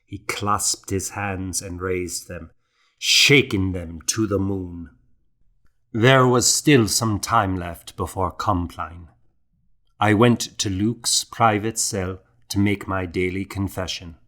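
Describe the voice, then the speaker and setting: Slow, low-voiced male audiobook narrator. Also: fairly even intonation, a bit bright. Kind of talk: scripted literary narration of a historical novel.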